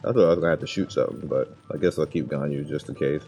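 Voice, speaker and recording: A man's voice: extremely low pitch, fairly even intonation, very fast, mellow. A man making offhand, wry commentary over gameplay. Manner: offhand, wry, mumbled asides.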